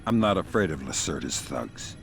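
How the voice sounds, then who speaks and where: An actor playing a grim middle-aged covert operative in a video game, quietly sardonic and menacing in a low gravelly voice. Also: male voice, sing-song, moderate pace, in a large hall, soft background music, full character voice. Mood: dry menace. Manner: quiet, dry, controlled threat.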